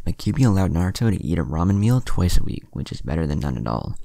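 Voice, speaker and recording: A man reading a fan fiction story aloud in a plain, steady way, with the odd slip. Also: sits low, quick pace, vocal fry, dry recording, studio quality.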